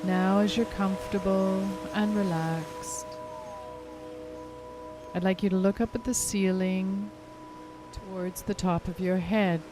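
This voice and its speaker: A woman leading a hypnotic guided meditation in a soothing, unhurried, gently instructing way. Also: medium pitch, steady tone. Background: music clearly behind the voice.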